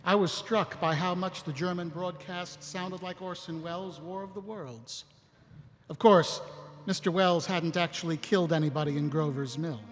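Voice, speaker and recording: Actor as high-voiced earnest American captain. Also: male voice, steady tone, normal speed, lightly acted. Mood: reflective. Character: earnest, weary American male army captain, narrator. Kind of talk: live audio drama acting and narration. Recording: echoey hall, faint music behind.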